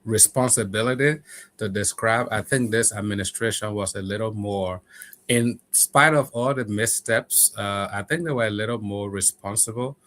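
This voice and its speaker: A man's voice: on the low side, normal speed, fairly bright tone. A man speaking as a guest on a panel, earnest and upbeat in a casual discussion. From Liberia.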